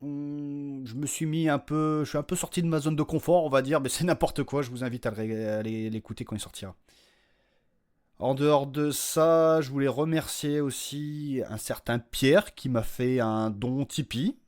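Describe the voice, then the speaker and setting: A man's voice: mid-range voice, moderate pace, darkish tone. Casual, rambling man making podcast announcements. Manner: informal, rambling, self-deprecating, slangy. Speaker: podcast creator and host.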